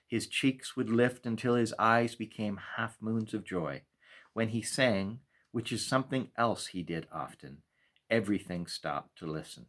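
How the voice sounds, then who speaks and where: A man reads a children's story aloud as a pastor at storytime, in a measured, expressive way with deliberate pauses. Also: fairly low pitch. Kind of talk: storytime read-aloud narration.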